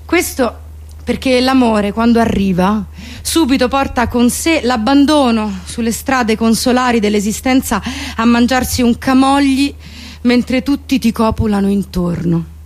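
A woman with a rather high voice performs a comic monologue. She sounds mock-solemn and theatrical, rambling along in colloquial turns of phrase. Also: measured pace, darkish tone.

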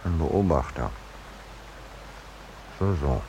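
An actor playing a peaceable, unworldly landscape painter in a 1950s German radio play, drily polite and unruffled with a quiet irony. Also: male voice, very deep voice, lively intonation, creaky, full character voice. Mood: dry, unruffled. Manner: dry, polite, unhurried irony. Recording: noisy 1950s radio drama recording.

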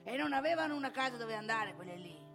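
A woman's voice: a little high, animated, fast talker, fairly bright tone, faint music behind. A woman playing a boastful, nostalgic old man in a theatrical comic monologue, rambling and emphatic. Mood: grumbling, confiding. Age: older. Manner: rambling, emphatic, addresses listener with rhetorical questions.

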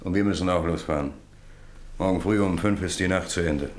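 A man's voice: low-pitched, brisk, natural voice. An actor playing a practical, genial middle-aged father and landowner in a German radio play. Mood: matter-of-fact.

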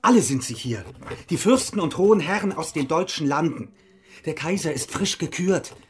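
Actor as anxious, cautious monk. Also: male voice, a little high, animated, normal speed, slight background noise, natural voice. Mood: warning. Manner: earnest, worried, admonishing. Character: anxious, cautious monk, loyal companion of a reformer. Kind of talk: German radio play acting.

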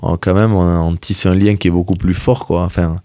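Low, dark-voiced young man, hesitant. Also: fairly even intonation, normal speed, slight background noise. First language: Basque. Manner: hesitant, many fillers and restarts. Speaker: rugby player, interview guest. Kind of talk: casual radio interview.

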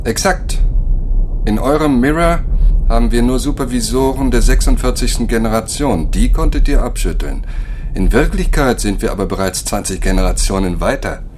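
An actor playing a cold, patient overseer scientist of a virtual world in a German radio drama, lecturing in a measured, condescending way. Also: male voice, medium pitch, expressive, slight background noise, natural voice. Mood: superior, emphatic.

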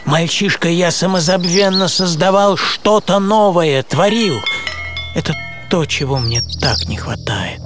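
A man playing a middle-aged, introspective psychiatrist in a dramatised first-person radio monologue, theatrical and reflective, with deliberate pauses. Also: high voice, animated. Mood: wistful, excited. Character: middle-aged male Swiss psychiatrist recalling a troubling dream, introspective. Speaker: author and presenter of a radio programme. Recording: radio production over loud music.

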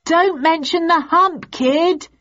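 Woman as a high, shouting theatre heckler. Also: muffled recording, exaggerated voice. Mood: mocking. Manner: shouting, high-pitched, drawn-out calls. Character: rowdy heckling theatre audience members, loud and cheeky.

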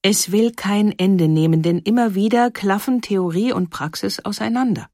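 A woman's voice: mid-range voice, normal speed, a little mellow, no echo, clean studio sound. A woman reading a scripted documentary narration in a composed, carefully phrased way.

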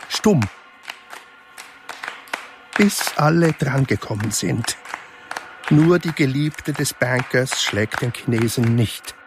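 A man reading stage directions in a radio play, in a measured, matter-of-fact way. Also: fairly high pitch. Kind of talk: scripted narration in a radio play.

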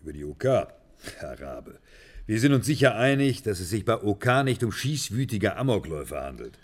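Composed interior minister, very low voice, formal, measured, considerate, German radio drama. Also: male voice, very animated, breathy edge. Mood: firm, matter-of-fact. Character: composed, courteous male interior minister, firm and condoling.

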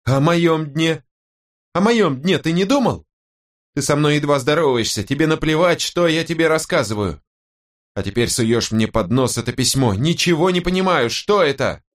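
An irritated young mother snaps and nags at her husband in an audiobook , voiced by a man. The pitch is pushed high and jumps around a lot. The manner is sarcastic, sharp and worn out. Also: animated, normal speed, natural voice. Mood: exasperated. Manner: sharp, nagging, exasperated. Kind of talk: audiobook character voice.

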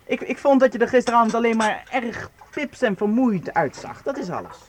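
A man's voice: very high voice, sing-song, fairly quick, background noise. An actor playing an eager, scheming young man who helps a professor in a children's radio play, hesitant and coaxing as he talks. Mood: coaxing, evasive.

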